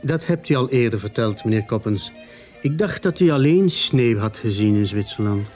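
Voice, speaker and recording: An actor playing a polite, dutiful police sergeant with a matter-of-fact manner in a radio drama. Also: male voice, medium pitch, expressive, normal speed, darkish tone, soft background music, lightly acted.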